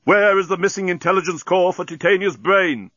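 A man's voice: medium pitch, very animated, moderate pace, fairly bright tone, no room sound, dull audio. A man playing a blustering, doom-laden alien starship captain in an audiobook, emphatic and formal. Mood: furious, demanding.

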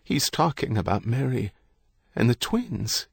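Injured, grieving male patient, acted by a narrator: weak croak, halting, gasping, confused, audiobook drama, dry sound. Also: medium pitch, sing-song, no room sound, voice pushed up, heavily acted. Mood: feeble, bewildered. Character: injured, dazed, grieving man in hospital bed.

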